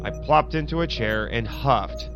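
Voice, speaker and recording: A man reads scripted story narration in journal style. His voice is mid-pitched, and loud music plays behind him throughout. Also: expressive, unhurried, highs cut off. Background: prominent background music.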